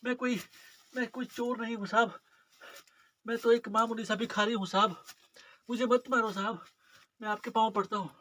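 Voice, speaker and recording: A man's voice: sits high, fairly even intonation, moderate pace, pauses often, bright, forceful tone, a little hiss or hum. A man playing a terrified, hungry beggar in a dramatic monologue, pleading and grovelling repetitively for mercy. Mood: frightened pleading.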